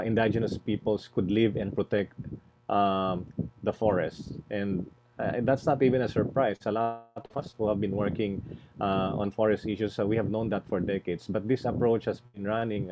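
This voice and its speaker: A man speaking as an NGO panelist, deliberate and halting, often repeating words as he searches for phrasing. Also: on the low side, fairly even intonation, warm tone. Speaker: environmental NGO executive, panel presenter. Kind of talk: spontaneous panel presentation. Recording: muffled, noisy online webinar audio.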